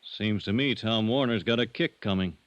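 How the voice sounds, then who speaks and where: An actor playing a shrewd, worldly banker with a wry, folksy, unhurried manner in a 1950s radio drama. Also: male voice, on the low side, no echo, close to an everyday voice. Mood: matter-of-fact. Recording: dull, dry vintage radio recording.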